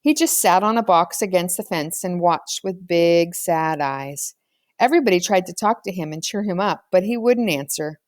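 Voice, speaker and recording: A woman's voice: fairly low pitch, moderate pace, no echo, studio quality. A woman reading a children's novel aloud as scripted storybook narration. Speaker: audiobook reader.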